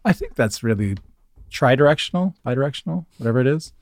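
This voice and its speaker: A man's voice: mid-range voice, animated, quick pace, dry recording, clean studio sound. A middle-aged man with an American accent chatting casually as a podcast guest, joking and informal. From born in Germany, spent early childhood in Scotland, raised in Northern California. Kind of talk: casual chat.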